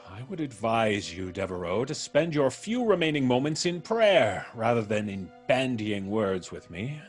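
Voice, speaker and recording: An actor playing a vengeful, gloating ex-convict in an old-time radio drama, with theatrical menace and florid, drawn-out diction. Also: male voice, medium pitch, sing-song, soft background music. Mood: ominous.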